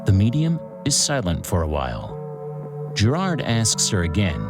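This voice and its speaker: An actor playing a theatrical true-crime show host, narrating in short, deliberate sentences with suspenseful pauses. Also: male voice, lowish voice, huge pitch swings. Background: music.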